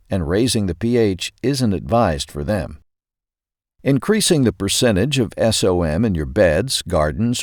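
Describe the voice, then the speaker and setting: A man reading a scripted magazine article aloud in a measured, clearly emphasized narrator's delivery. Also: lowish voice, darkish tone, dry recording, clean studio sound.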